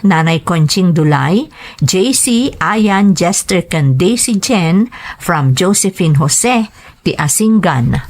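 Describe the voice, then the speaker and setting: A woman with a Filipino accent hosting and narrating, reading greetings and listeners' letters from a script. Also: on the low side. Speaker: host and narrator of a drama channel.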